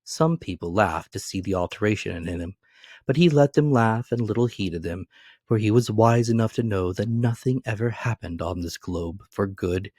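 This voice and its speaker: A man narrating a classic novel as a dramatic reading, expressive and animated. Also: fairly low pitch, normal speed, husky, no room sound, clean studio sound.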